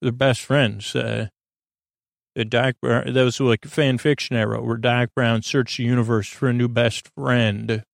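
A man hosting a bedtime podcast in a casual, meandering monologue, stammering and halting as he keeps drifting off topic. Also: mid-range voice, little pitch movement, vocal fry, no room sound, clean studio sound. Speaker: podcast host.

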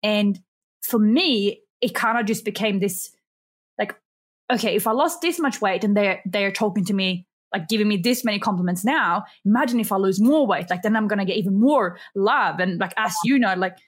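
A woman's voice: mid-range voice, normal speed, slightly rough. Chatty Swedish-accented woman. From Sweden. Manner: chatty, many fillers, laughs often. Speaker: guest, binge eating coach. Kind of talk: casual interview chat.

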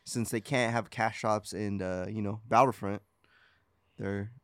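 A man's voice: lowish voice, fairly even intonation, unhurried, dry recording, studio quality. A man co-hosting a casual gaming chat in an understated, tentative manner. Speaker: podcast co-host.

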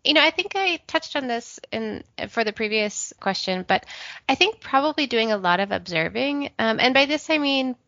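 A woman's voice: fairly high pitch, lively intonation, moderate pace, bright, forceful tone, dry recording, lo-fi sound. A woman sharing advice about writing in a second language, speaking in an earnest, reflective way with frequent "you know" fillers. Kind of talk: conversational discussion.

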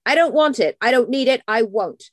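A high, bright little boy's voice, eager and excitable, whining when he refuses and squealing when pleased, as a woman reads him aloud. It is a storybook child with a strongly raised pitch. The sound is close and dry. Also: normal speed, dry recording, lightly acted. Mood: protesting. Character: small excited boy, eager and greedy.

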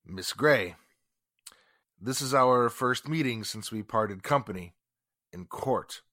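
A man's voice: medium pitch, slow pace, pushed and bright, dry recording, studio quality. An actor playing a haughty, sarcastic, indignant businessman in 1940s radio drama style. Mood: coolly sarcastic. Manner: pompous, formal, sarcastic. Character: haughty, indignant wealthy businessman under police questioning.

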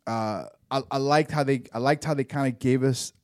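A man's voice: mid-range voice, moderate pace, a little mellow, dry recording, studio quality. A man co-hosting a podcast, chatting casually and animatedly, restarting his words and often asking "right?". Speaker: podcast co-host. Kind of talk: casual chat about a TV episode.

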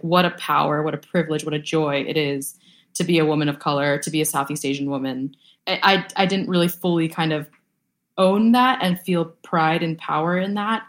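Low-voiced chatty young woman. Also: fairly quick. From Boston area, USA. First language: Vietnamese. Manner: upbeat, chatty, many "like" fillers. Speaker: guest, food brand co-founder. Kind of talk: casual interview conversation.

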